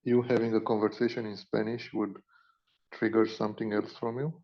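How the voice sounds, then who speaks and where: A man with a Romanian accent talking casually as a podcast co-host, in a halting way, searching for his words. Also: on the low side, level tone, mellow. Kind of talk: casual unscripted conversation. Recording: dull lo-fi audio.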